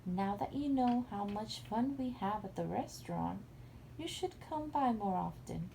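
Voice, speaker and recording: A woman's voice: mid-range voice, animated, measured pace, vocal fry, warm tone, a little hiss or hum, low-quality recording. A woman voicing a kind young princess in a bedtime story, encouraging and caring.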